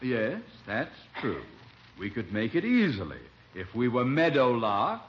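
An actor playing a pompous, sarcastic, excitable gentleman in old-time radio comedy style. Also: mid-range voice, a little slow. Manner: pompous, sardonic, melodramatic. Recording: dull old radio recording, some noise.

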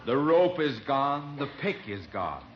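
A stuffy sarcastic gent in old radio comedy, excitable, voice jumps all over, dull recording. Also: male voice, medium pitch, slow pace, noisy background. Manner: pompous, sardonic, melodramatic. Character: pompous, sarcastic, excitable gentleman climbing partner. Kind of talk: old-time American radio comedy acting.

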